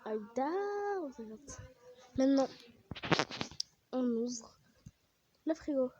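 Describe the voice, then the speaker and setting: A woman's voice: high-pitched, slow, warm tone, muffled recording, a little hiss or hum. A young woman with a cold chats casually and rambles with many fillers while she plays a game. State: has a cold, coughing. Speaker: gaming vlogger.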